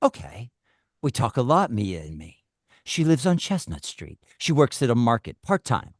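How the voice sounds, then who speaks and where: A man's voice: medium pitch, very animated, moderate pace, no echo, clean studio sound. A man acting a menacing, blunt and foul-mouthed kidnapper making threats in a script table read. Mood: cold, controlled. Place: video call.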